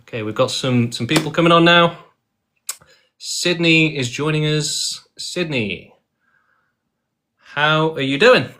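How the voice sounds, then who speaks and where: A man's voice: a little high, slow pace, pauses often, pushed and bright. A man hosting a live-streamed debate who calls guests liars bluntly, reads the chat and moves the show along in a combative, dismissive way. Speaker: livestream debate host.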